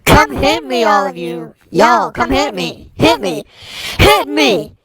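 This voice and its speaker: A woman's voice: high voice, very animated, takes it slowly, a bit bright, exaggerated voice. A woman voicing a cocky, taunting chaos monster spoiling for a fight in an amateur action drama. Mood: aggressive taunting. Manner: taunting, challenging.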